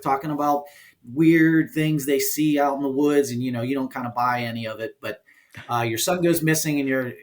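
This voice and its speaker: A man talking casually as an interview guest, explaining his work in an informal, halting way. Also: medium pitch. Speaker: guest, indie game developer and producer.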